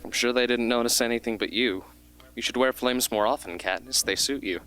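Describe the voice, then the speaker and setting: A man playing an earnest, self-deprecating and quietly defiant teenage boy tribute in an amateur radio drama. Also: mid-range voice, brisk, a little hiss or hum, natural voice, rough recording. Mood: gentle.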